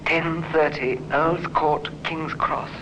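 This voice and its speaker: A man's voice: a little high, little pitch movement, takes it slowly. An actor playing an urgent, pleading young man in a 1950s radio drama, clipped and insistent. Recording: dull, hissy vintage radio recording.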